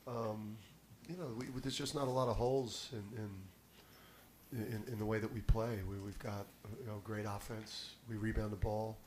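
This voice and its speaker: A man's voice: lowish voice, fairly even intonation, normal speed, a little mellow, slight background noise. A middle-aged man, a basketball head coach, answering press questions with confident, emphatic talk and restarts, into a table mic in a press room. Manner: confident, emphatic, repeats words when starting. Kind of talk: postgame press conference answers. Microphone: table mic on a stand.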